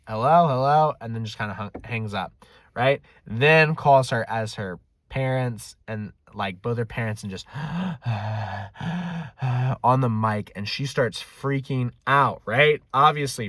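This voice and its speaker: A man's voice: mid-range voice, fairly bright tone, dry recording. Casual man rambling, dry close sound. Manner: casual, swearing, self-deprecating. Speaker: video host. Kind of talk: casual rambling storytelling to camera.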